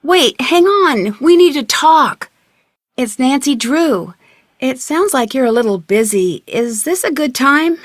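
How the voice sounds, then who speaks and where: An actress playing a polite, inquisitive young woman detective in a video game, speaking in a clear, friendly, conversational way. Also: sits high, expressive, a little slow, dry recording, clean studio sound. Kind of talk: video game dialogue acting, isolated conversational lines.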